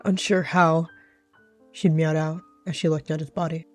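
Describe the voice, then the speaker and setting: A man's voice: on the high side, flat delivery, moderate pace, soft background music. A man giving dramatic third-person narration as a player in a story roleplay.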